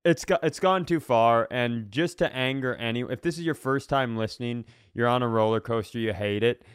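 A man's voice: mid-range voice, moderate pace, clean tone, no echo, clean studio sound. A man co-hosting a comedy podcast in casual banter, emphatic and sweary with mock outrage.

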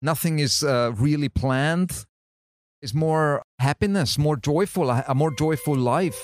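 A man in his thirties from Austria who grew up in Italy, answering interview questions in relaxed, plain-spoken English with halting phrasing. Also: on the high side. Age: 30s. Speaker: diver and business owner. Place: on a boat in a bay.

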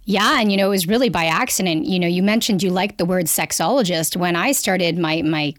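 A woman from Canada with a Canadian accent, a guest expert explaining at length in a lively, talkative way and laughing easily. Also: lowish voice, fairly quick, no echo, studio quality. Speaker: guest sexologist. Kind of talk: podcast interview, explaining.

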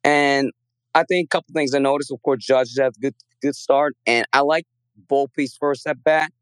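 A male caller who is losing his voice stops and starts, filling gaps with hesitations. His tone stays smooth and resonant, and the sound is dry and close. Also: medium pitch, steady tone, no room sound. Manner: halting, many fillers. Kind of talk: call-in chat.

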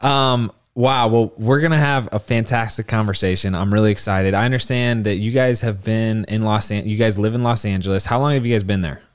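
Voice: medium pitch, steady tone, brisk, few pauses. A podcast host welcoming guests and asking questions, reacting eagerly. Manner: eager interviewer, reacts with frequent exclamations. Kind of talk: casual podcast interview.

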